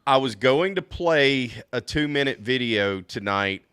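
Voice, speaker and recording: Hoarse-voiced man chatting on a podcast. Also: mid-range voice, animated, a little slow, a bit bright, dry recording, clean studio sound. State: losing his voice. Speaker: podcast co-host. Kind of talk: casual chat. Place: hotel room.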